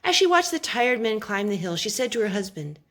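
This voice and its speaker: A woman reads a children's Bible story aloud with very lively, swooping intonation. Her pitch is average for a woman, and she shapes phrases deliberately, stretching out key words.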